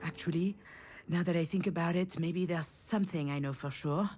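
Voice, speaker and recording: A woman's voice: lowish voice, moderate pace, darkish tone, a little hiss or hum, natural voice. An actress playing a flustered, sardonic woman in a video game, with a wry, self-deprecating and hesitant manner. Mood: wry, woozy. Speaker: voice actor playing the lead character. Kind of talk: acted game dialogue with inner-monologue narration.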